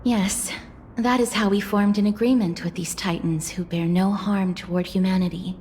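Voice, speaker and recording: An actress playing a poised, gentle, courteous young woman in a video game, speaking formally and with measured care. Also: medium pitch, steady tone, slight background noise, close to an everyday voice. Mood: calm explaining. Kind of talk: scripted video game drama.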